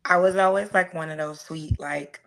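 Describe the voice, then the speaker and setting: A woman speaks as an interview guest. Her storytelling is casual and reflective, with halting phrasing. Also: sits low, fairly even intonation, gravelly, a little hiss or hum. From South Side of Houston, United States.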